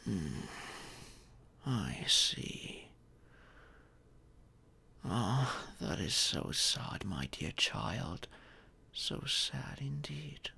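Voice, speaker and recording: Slow, creaky, stately dragon, played by a man. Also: on the low side, fairly bright tone, full character voice. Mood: calm, decisive, then tender, sympathetic. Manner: formal, measured, theatrical, unhurried. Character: gentle, stately dragon adopting a sacrificed child. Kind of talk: audio roleplay addressed to the listener.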